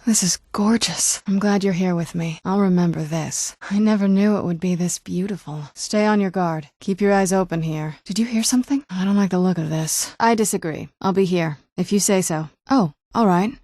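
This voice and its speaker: A woman's voice: lowish voice, normal speed, hardly any pauses, mellow, no room sound. An actress playing a young female vampire companion in a video game, with a dry, guarded, sardonic manner. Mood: calm, friendly, then watchful. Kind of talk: video game character voice acting.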